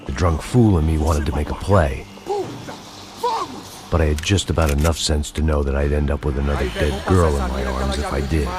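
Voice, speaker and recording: A very deep, weary noir cop. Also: male voice, steady tone, normal speed. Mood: grim. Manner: dry noir voice-over, world-weary. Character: weary hard-drinking middle-aged ex-cop, cynical. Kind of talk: hard-boiled video game narration. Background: game score music.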